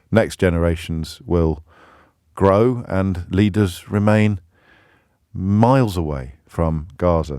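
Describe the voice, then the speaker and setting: Deep-voiced radio phone-in host. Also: male voice, no room sound, clean studio sound. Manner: measured, forceful argument with deliberate pauses. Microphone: studio microphone.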